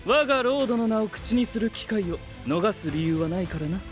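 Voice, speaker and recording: Formal, pedantic young scientist knight. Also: male voice, sits high, lively intonation, brisk, faint music behind. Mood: proud. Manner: formal, stiff, logical phrasing. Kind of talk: Japanese anime-style voice drama acting.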